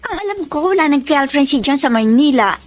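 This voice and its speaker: A high-voiced young woman in a radio drama. She is a sassy student with a brash, blunt, matter-of-fact way of talking, quick to defend her family. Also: expressive, slight background noise. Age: young adult. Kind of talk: Tagalog radio drama acting.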